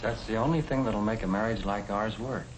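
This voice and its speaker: Practical young American husband, noisy echoey old film, acted. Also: male voice, fairly low pitch, fairly quick, natural voice. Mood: matter-of-fact. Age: young adult. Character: young professional husband, practical, matter-of-fact, budget-minded. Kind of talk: 1950 educational film acting.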